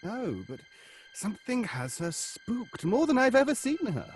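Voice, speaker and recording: A lively, nervous stage actor. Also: male voice, extremely high pitch, a little slow, soft background music, exaggerated voice. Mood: alarmed. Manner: hesitant, theatrical. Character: nervous, gossipy male stage actor, eager to placate. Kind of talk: live audio horror drama acting.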